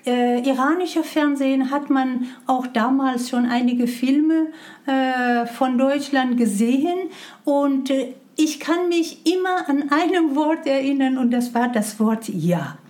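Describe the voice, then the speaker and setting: A woman's voice: sits high, takes it slowly, darkish tone. A middle-aged woman from Iran with a Persian accent, speaking non-native German hesitantly with many fillers as an interview guest. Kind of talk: conversational interview.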